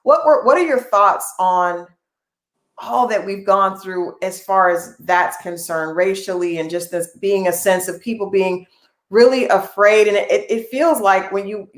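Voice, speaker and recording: Affirming woman host in an echoey room. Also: medium pitch. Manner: affirming, with frequent murmured agreement and long rambling questions. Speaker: interview host. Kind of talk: interview.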